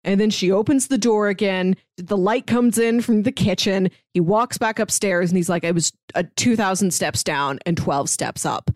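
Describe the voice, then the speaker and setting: A woman co-hosting a casual book discussion, telling things in a halting, conversational way with acted-out quotes. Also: mid-range voice, a little mellow, no echo. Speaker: podcast co-host.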